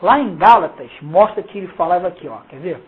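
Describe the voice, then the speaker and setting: A preacher with a Carioca Brazilian accent and a husky voice expounds the Bible informally, emphatic and mocking, throwing slangy rhetorical questions at listeners. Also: mid-range voice, animated, brisk. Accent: Carioca Brazilian Portuguese. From Rio de Janeiro, Brazil. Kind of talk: informal Bible exposition, reading verses and commenting. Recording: muffled, phone-like lo-fi sound.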